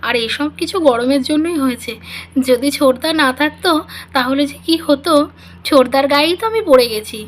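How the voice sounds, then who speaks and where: A woman voicing a polite, grateful young tutor who is unwell, speaking softly in a doll-play drama. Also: high voice, a little fast, a little hiss or hum, close to an everyday voice. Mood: apologetic. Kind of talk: melodramatic doll-play dialogue.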